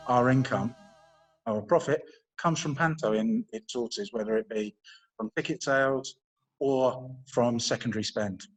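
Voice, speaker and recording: A man giving spontaneous news interview answers as a theatre manager, in halting, piecemeal phrases. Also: mid-range voice, rough recording.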